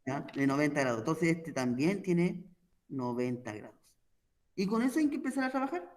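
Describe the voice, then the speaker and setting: A man's voice: on the high side, sing-song, normal speed, darkish tone. A man explaining an online math lesson, patiently walking through each step and checking in often with his listeners. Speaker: math teacher.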